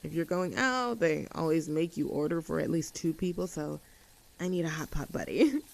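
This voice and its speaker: A woman's voice: low voice, expressive, normal speed, creaky, low-quality recording. A woman voicing a cheerful, easygoing young tomboy friend in an intimate roleplay audio drama, talking in a casual, chatty and affectionate way. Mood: excited, chatty.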